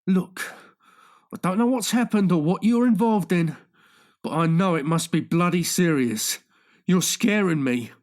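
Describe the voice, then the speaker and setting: A man's voice: sits high, a little slow, darkish tone, pitched above the performer's own voice. A man voicing a tired, exasperated police detective and worried friend in an audiobook, blunt and pleading. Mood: anxious, pleading. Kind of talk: audiobook character dialogue.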